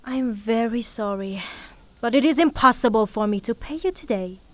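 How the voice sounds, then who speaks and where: A woman performs a melodramatic, well-to-do young widow in a stage-style audio play. Her voice is very high, and her delivery is formal and proud, with a haughty edge. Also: lively intonation, moderate pace, a bit bright, dry recording. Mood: firm. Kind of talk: theatrical audio drama acting.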